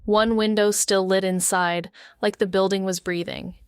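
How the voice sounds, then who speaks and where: An actress plays a shaken young woman telling her own story in a noir audio drama. Her voice is clean and smooth, recorded dry and close, with a wry, introspective, sardonic edge. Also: mid-range voice, steady tone, normal speed, no room sound, natural voice. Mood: distant, focusing. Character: shaken, wounded, sardonic young woman, med-school dropout. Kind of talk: intimate first-person audio drama monologue.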